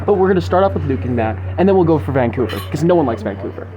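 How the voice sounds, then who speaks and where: Flippant young man, higher voice. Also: animated, racing, highs cut off, over music. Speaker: podcast co-host. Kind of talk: casual comic chat. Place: sushi restaurant.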